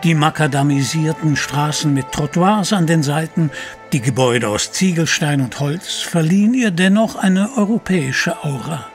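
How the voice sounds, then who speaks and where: A man's voice: fairly high pitch, normal speed. A man reading scripted narration as the narrator of an audio drama. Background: music.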